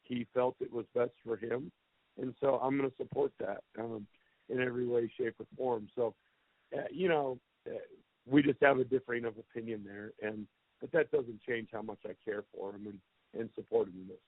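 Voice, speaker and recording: A man coaching a football team talks candidly and plainly, with some self-deprecating honesty. His voice sits at a middle pitch and comes through a thin, tinny telephone line with no room sound at all. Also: fairly even intonation, normal speed, dry recording. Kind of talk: answering questions on a press conference call. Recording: tinny phone line, dry.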